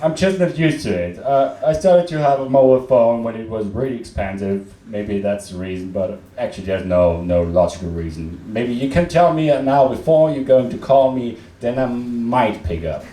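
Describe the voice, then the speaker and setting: A young man from Austria with an Austrian accent, German his first language, performing a deadpan, self-mocking stand-up set with many fillers and restarts. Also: medium pitch, normal speed, few pauses, a little hiss or hum. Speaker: stand-up comedian. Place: comedy club stage. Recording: roomy club sound from a distant mic, loud crowd.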